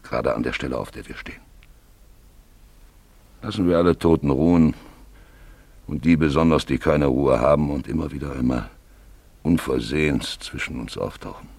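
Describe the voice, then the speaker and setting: An actor playing a gruff, superstitious old huntsman in a German radio play, with a gravelly, husky voice and a brusque, practical manner. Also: a bass voice, normal speed, pauses often, a little mellow, full character voice. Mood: grave warning.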